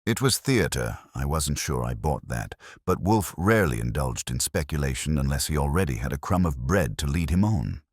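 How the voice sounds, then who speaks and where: Very deep, husky male mystery narrator. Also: animated, normal speed, hardly any pauses, a little mellow, no room sound, studio quality. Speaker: audiobook narrator. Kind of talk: scripted first-person hardboiled detective narration.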